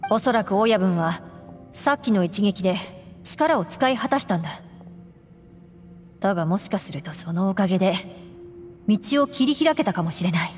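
A woman's voice: mid-range voice, animated, moderate pace, long pauses, a little mellow, close to an everyday voice. A woman playing a level-headed young gang deputy in an anime-style game, explaining things in a matter-of-fact, blunt way. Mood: resigned, calm. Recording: reverberant, with music behind.

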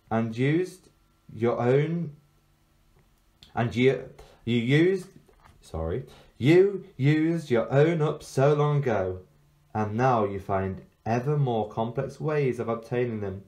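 Fairy-tale queen read by a man: resolute, cool and defiant, firm delivery, slightly lifted pitch, expressive.

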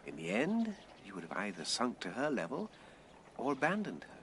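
A blunt skeptical victorian writer, dry formal talk, lowish but very expressive, faintly noisy radio play. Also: male voice, normal speed. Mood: frank, chiding. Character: frank, sceptical, poor Victorian man of letters. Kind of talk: BBC radio drama acting.

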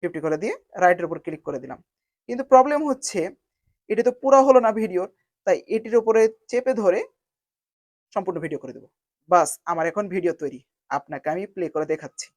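A woman narrating a step-by-step software tutorial in an instructional manner, spelling out each step in repeated phrasing. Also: lowish voice, expressive, fast talker, long pauses, no echo. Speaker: video editing tutorial host.

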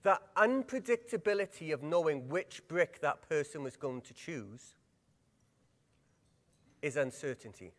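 A middle-aged man with a Welsh accent running a workshop at a conference, lively and engaging, putting questions to the audience. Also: fairly high pitch, a little slow, pauses often. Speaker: workshop presenter. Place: large conference hall. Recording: long hall reverb.

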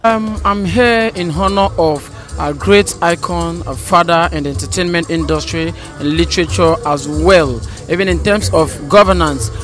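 A man's voice: fairly high pitch, normal speed, hardly any pauses, a bit bright. A young Nigerian man giving an earnest, emphatic interview answer into a handheld microphone. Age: young adult. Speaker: audience member being interviewed. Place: large indoor auditorium. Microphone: handheld microphone held close. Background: music.

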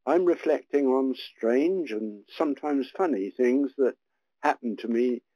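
A man's voice: mid-range voice, measured pace, no room sound, highs cut off. Older man, retired priest telling stories. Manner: gentle, anecdotal and relaxed. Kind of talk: reflective storytelling.

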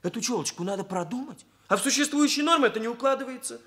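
A man's voice: very high voice, animated, quick pace, sharp tone, exaggerated voice. An actor playing an earnest young male hairdresser who talks in stilted, pompous officialese in a Soviet radio play. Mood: aggrieved, earnest. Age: young adult. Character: earnest, aggrieved young male hairdresser, stiffly bureaucratic speech. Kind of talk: Soviet radio drama acting.

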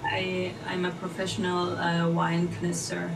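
A woman's voice: lowish voice, monotone, unhurried, creaky, noisy background. A woman in her twenties, born in Portugal and raised in Switzerland, chatting on video with hesitant ums and giggles. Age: 20s. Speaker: video chat stranger. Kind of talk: casual chat. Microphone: large desk microphone in a home room.

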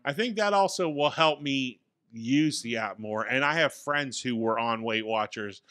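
A man in his forties co-hosting a casual tech podcast, telling a relaxed, self-deprecating story from a small studio with a boom mic. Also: a little slow, dry recording. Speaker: podcast co-host. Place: small home studio with acoustic panels. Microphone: studio mic on boom arm.

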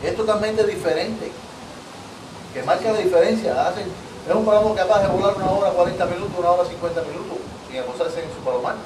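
A man's voice: high voice, fast. A man in his thirties with a Cuban accent, chatting in an interview on an outdoor patio, repeating and restarting his phrases emphatically. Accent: Cuban Spanish. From Cuba. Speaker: pigeon breeder guest. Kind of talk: casual interview. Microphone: far camera. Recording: echoey, distant camera audio, noisy.

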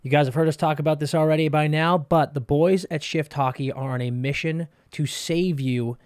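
Casting note: male podcast co-host, thirties, punchy pitchman energy, slightly higher voice, dry close-miked studio sound. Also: steady tone, moderate pace, smooth, no room sound. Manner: hyped, emphatic pitchman delivery. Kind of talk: hockey podcast banter and sponsor read. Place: studio with brick and wood walls. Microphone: boom-arm podcast mic.

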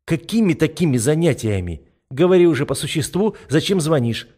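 Man voicing a weary, snappy woman, raised pitch. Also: sing-song, brisk, mellow. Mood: irritated. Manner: grumbling, snappy. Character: tired, irritable young woman schoolteacher.

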